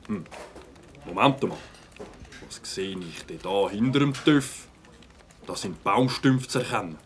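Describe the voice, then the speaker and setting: An actor playing a dutiful, incorruptible village policeman in an audio drama, deliberate and official. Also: medium pitch, fairly bright tone, breathy edge, full character voice. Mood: investigating.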